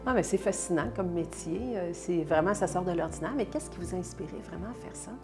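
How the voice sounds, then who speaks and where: A woman's voice: on the low side, fast, over music. A woman hosting a broadcast interview, introducing her guest and asking questions with enthusiastic praise. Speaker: host.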